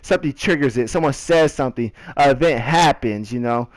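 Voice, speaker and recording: A man's voice: mid-range voice, fairly quick, no room sound. Young man telling a casual story, dry sound. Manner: animated slangy retelling with self-quotes and repeats. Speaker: gaming video creator. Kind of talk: casual storytelling over gameplay.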